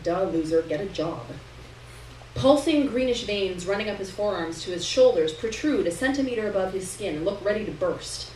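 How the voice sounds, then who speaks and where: A woman giving an expressive, dramatic first-person reading of a story at a live literary event. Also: medium pitch, fairly quick, hardly any pauses. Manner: dramatic delivery, acting out dialogue. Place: indoor room at a live reading. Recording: roomy, distant live recording with noise.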